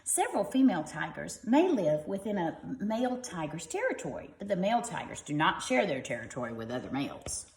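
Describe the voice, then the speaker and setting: A woman's voice: fairly low pitch, sing-song, brisk, few pauses, darkish tone, roomy sound, a little hiss or hum. A woman reading a picture book aloud to young children like a teacher, expressively and with simple explanatory asides.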